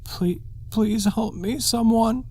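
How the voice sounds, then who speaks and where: A man voicing a frightened girl in a horror story, murmuring softly with halting, stammered pleas. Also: sits high, pitched above the performer's own voice. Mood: begging. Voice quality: soft, murmuring.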